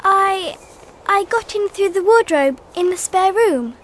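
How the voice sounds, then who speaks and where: Very high-voiced, polite little girl. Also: animated, measured pace, a little hiss or hum. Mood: earnest. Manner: well-mannered, earnest, wondering. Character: polite, innocent, curious young English girl. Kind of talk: British children's radio drama acting.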